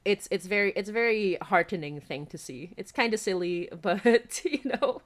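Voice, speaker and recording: A woman chats as the host of a casual livestream. She sounds giggly and animated, with a mid-range voice and a talkative, informal delivery. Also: mid-range voice, normal speed. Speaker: podcast host and writer.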